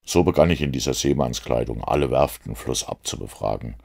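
A shrewd, methodical storybook detective acted out by a man reader speaks in an extremely low voice. It crackles with gravel as he lays out his reasoning deliberately, fully sure of himself. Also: a little mellow, heavily acted. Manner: deliberate, explanatory.

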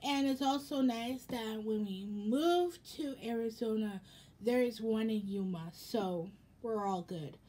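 A young American woman chatting casually for a haul vlog in a rambling, halting way, with a slightly raspy voice. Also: on the high side, mellow. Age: young adult. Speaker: vlogger. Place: indoors in a home room.

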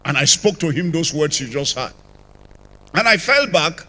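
An older man with a Nigerian accent, telling his conversion testimony as a church apostle, with sudden rises and drops in loudness, on a handheld mic in a large church. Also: a little high, lively intonation, normal speed. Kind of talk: testimony sermon. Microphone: handheld microphone.

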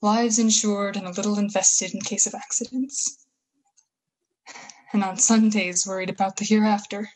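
Female actor for a bitter war survivor in a radio drama, husky voice, folksy slang, full of grand plans. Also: medium pitch, flat delivery, darkish tone, close to an everyday voice, low-quality recording. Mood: scornful, scheming. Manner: folksy, slangy, halting emphatic phrases. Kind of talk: 1930s-style radio play acting.

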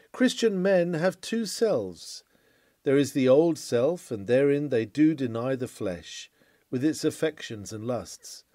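Guy reading a formal old sermon, very animated intonation, declamatory, dry close sound. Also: on the high side, no room sound. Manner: declamatory, formal, emphatic phrasing with deliberate pauses. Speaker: reader of a historic sermon. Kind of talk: oratorical sermon read aloud.

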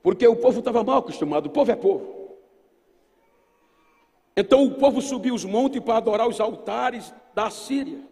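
High-pitched gravelly Brazilian preacher man. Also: animated, normal speed, long pauses, warm tone. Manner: emphatic, oratorical, storytelling. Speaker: pastor preaching at a youth Bible seminar. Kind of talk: Pentecostal sermon to a large congregation. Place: large church auditorium. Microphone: microphone on a podium stand. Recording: long hall reverb from PA system.

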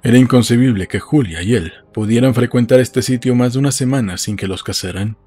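A man's voice: medium pitch, a little fast, warm tone, slightly rough. A man reading a literary audiobook as a scripted narrator. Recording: music behind.

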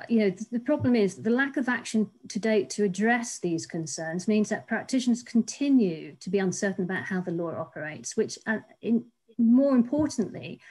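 A woman in her fifties, a legal academic, giving measured, earnest panel remarks over a video call from a small home office, with frequent restarts. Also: mid-range voice, a little mellow, low-quality recording. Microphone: laptop webcam video call.

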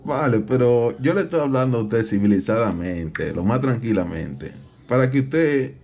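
A man's voice: medium pitch, expressive, normal speed, darkish tone, close to an everyday voice. A man with a Dominican accent playing an aggrieved, protective father who claims to be a police captain on a prank call, insistent and repetitive. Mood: pleading, insistent. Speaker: prank caller. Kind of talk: improvised prank phone call.

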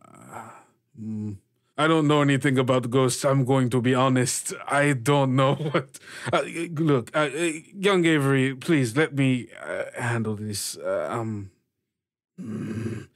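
A dutiful, flustered male ship's doctor, played by a man in a tabletop game. He talks slowly and haltingly, mumbling between phrases. The sound is dry. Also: medium pitch, no room sound, natural voice. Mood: flustered, uncertain. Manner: halting, full of hems and mumbles.

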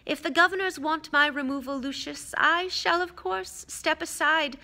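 A woman voicing a calm, commanding old male headmaster who speaks slowly, clearly and politely in a storybook reading. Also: high voice, pitched above the performer's own voice. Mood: calm, firm. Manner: slow, clear, measured, polite.

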